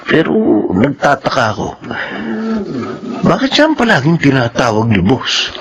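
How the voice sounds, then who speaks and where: A man's voice: medium pitch, huge pitch swings, normal speed, a bit bright, a little hiss or hum. A man with a Filipino accent acting a chatty, curious embalmer in a radio horror drama. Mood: puzzled, suspicious.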